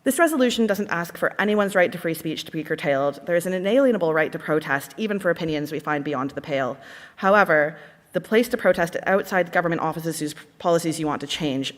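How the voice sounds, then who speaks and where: A woman's voice: sits low, fast. Canadian woman giving an impassioned hall speech. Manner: earnest, impassioned. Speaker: conference delegate. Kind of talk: conference speech. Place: large conference hall. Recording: reverberant hall.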